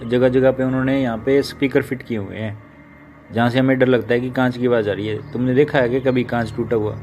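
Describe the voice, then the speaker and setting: A man's voice: mid-range voice, steady tone, a little fast, darkish tone, slight background noise, lightly acted. A man playing a confident, boastful husband who reassures in a children's story. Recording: music behind the voice. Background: music.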